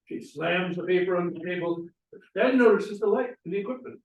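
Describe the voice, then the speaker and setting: A man's voice: high voice, brisk. A man reading out stage directions at an informal script table read. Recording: echoey room, distant mic.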